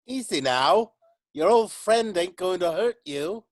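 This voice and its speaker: A kindly chimney sweep, played by a man from a stage musical, very unhurried and folksy. He is cheerful and reassuring, recorded close and dry. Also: high voice, expressive, no echo. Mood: cheerful, friendly. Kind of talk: amateur musical read-through.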